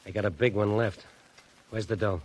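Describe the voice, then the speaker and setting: A man's voice: on the low side, fairly even intonation, moderate pace, a little mellow, close to an everyday voice. An actor playing a sardonic, menacing gangster henchman in a 1940s radio crime drama, talking in curt, slangy threats. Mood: coolly menacing. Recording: vintage 1940s radio recording.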